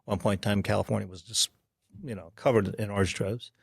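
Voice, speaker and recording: A man in his sixties, a podcast guest, recalling his childhood in an unhurried way with frequent pauses. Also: lowish voice, steady tone, creaky, breathy edge, no room sound, studio quality. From Korea. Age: 60s. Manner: unhurried, pausing with ums while recalling. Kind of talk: storytelling interview.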